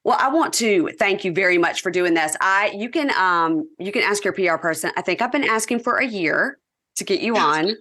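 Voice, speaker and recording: A woman's voice: medium pitch, lively intonation, a little fast, pushed and bright. A woman from Mississippi with a Southern accent hosting a podcast interview, chatty and affirming, often laughing along with her guest. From Mississippi, USA. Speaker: podcast host. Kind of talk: casual interview chat.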